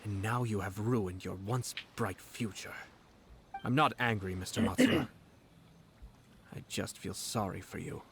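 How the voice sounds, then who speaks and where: Ayato, a poised noble commissioner. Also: male voice, lowish voice, a little hiss or hum. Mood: calm, cutting. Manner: measured, courteous, quietly sardonic. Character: composed noble male commissioner, politely cutting. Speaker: game character. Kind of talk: video game voice acting.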